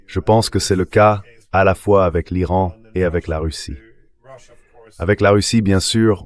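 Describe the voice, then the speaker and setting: A man speaking as a guest analyst, giving measured, explanatory commentary on world affairs. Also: low-pitched, darkish tone.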